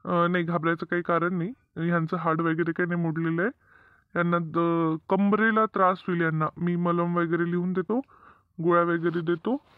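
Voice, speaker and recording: A man plays a matter-of-fact village doctor in a homemade cartoon. His voice is high for a male, reassuring and repetitive, with a dry, muffled sound. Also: level tone, normal speed, clear, resonant tone, no echo, natural voice. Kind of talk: amateur cartoon comedy dub.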